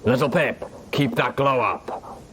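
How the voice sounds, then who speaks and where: A man voicing a gruff, commanding undead pony soldier in armor, speaking tersely in an audiobook reading. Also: mid-range voice, slow pace, fairly bright tone, a little hiss or hum, voice pushed up. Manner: terse, military.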